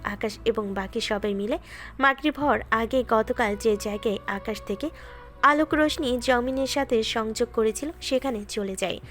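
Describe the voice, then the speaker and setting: A woman narrating a scripted story in a steady, dramatic way. Her voice sits a little high, and faint background music plays beneath her. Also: moderate pace. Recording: music bed behind.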